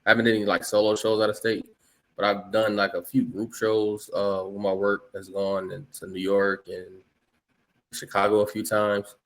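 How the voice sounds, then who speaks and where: Relaxed low-voiced man from Los Angeles. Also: moderate pace, low-quality recording. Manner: relaxed, rambling, many fillers and restarts. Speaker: guest artist. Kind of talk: casual interview.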